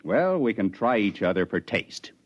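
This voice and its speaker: A man's voice: on the low side, very animated, moderate pace. An actor playing a brash, swaggering young male stowaway in a 1950s radio drama, drawling and sure of himself. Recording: dull old radio sound.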